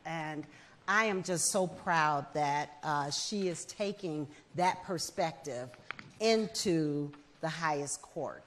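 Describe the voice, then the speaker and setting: A woman in her fifties, a foundation head, speaking in a measured, earnest way as a guest on an indoor festival stage with a lapel mic. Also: low-pitched. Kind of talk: onstage festival conversation.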